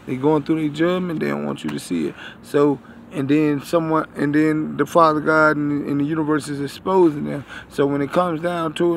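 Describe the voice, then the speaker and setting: A man in his thirties giving a rambling religious talk to viewers, halting and repeating his phrases, recorded on a phone outdoors. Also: fairly high pitch, vocal fry, slight background noise. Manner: repetitive, halting, circling back over phrases. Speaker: online preacher, self-styled prophet. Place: outdoors beside a building. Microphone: phone at arm's length.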